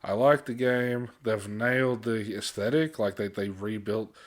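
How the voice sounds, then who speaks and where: A man's voice: on the low side, measured pace. A man with an Australian accent co-hosting a podcast, chatting casually in a blokey, informal way with some mild swearing. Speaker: podcast co-host. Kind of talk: casual pop-culture podcast chat.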